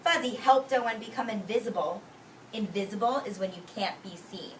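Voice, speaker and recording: A woman preschool teacher reading aloud expressively to young children, explaining words simply and giving gentle classroom directions. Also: medium pitch, normal speed. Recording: roomy, distant microphone, faint noise.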